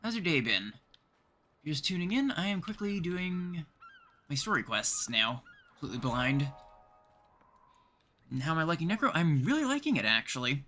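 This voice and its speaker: Bright-voiced Canadian man streaming a game. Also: on the high side, sing-song, moderate pace, pauses often, a little hiss or hum. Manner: thinking aloud, chatting to viewers. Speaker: game livestream host. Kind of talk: casual livestream chat while playing.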